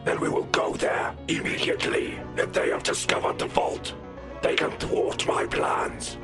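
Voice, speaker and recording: A man performs a menacing warlord king for an audio drama. The voice is a harsh, breathy growl with almost no clear pitch, commanding and grandiose. Loud music plays underneath. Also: natural voice. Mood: grim resolve. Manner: grandiose, imperious, slow pronouncements. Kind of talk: audio drama villain acting.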